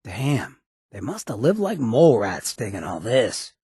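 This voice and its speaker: An actor playing a roguish, wry ghoul in a video game, with a raspy, gravelly voice and streetwise drawl. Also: male voice, mid-range voice, very animated, a little slow, dark tone, dry recording, clean studio sound, full character voice. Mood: wry, musing. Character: roguish, wry male ghoul mayor, chem-loving companion. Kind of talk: video game companion dialogue.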